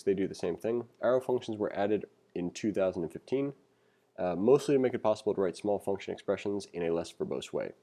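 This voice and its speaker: A man's voice: on the low side, dark tone. A man giving a casual, conversational programming tutorial as instructor, reading along and adding opinionated, self-deprecating asides.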